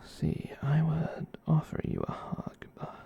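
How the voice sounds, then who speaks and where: A performer voicing a gentle giant furry catboy in a whispered ASMR roleplay, soft and breathy, rambling tenderly and reassuringly. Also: medium pitch, wildly swooping pitch, muffled recording, heavily acted. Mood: tender, doting. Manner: slow, tender, reassuring rambling with cat-speak.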